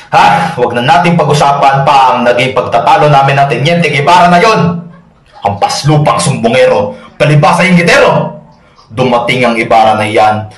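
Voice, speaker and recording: A man's voice: on the low side, natural voice. A young Filipino man playing an arrogant, domineering Spanish colonial friar in a theatrical monologue, pompous and scolding. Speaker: student performer of a monologue. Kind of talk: theatrical Tagalog stage monologue. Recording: echoey room recording.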